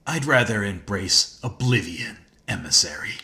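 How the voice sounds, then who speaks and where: A man's voice: lowish voice, big pitch swings, measured pace, creaky, sharp tone, exaggerated voice. A man voicing a proud, scornful hunter in a sci-fi video game, taunting and sardonic with cool menace.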